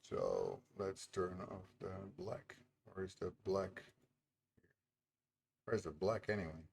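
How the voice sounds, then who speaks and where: A man's voice: low-pitched, sing-song, slow pace, vocal fry, darkish tone, slight background noise. A man chatting casually on a live stream while building his game, laughing often and muttering to himself as he works. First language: Dutch. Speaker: indie game developer streaming.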